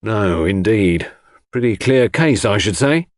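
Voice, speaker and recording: A male narrator puts on a jovial police inspector in a detective audiobook. He is colloquial, cocky and breezy, with a very lively melody and a dry, close sound. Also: fairly low pitch, unhurried, dry recording. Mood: jocular.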